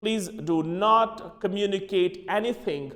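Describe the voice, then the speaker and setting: A man's voice: sits high, fairly bright tone. Man drilling pronunciation. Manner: switches between English and Hindi, repeats words slowly for drilling. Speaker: English teacher. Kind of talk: classroom pronunciation lesson. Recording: long reverb in a room.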